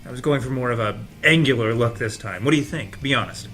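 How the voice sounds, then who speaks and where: A man's voice: mid-range voice, fast, fairly bright tone, faint music behind, close to an everyday voice. A man playing a breezy, cocky young customer in an audio drama table read, theatrical and teasing.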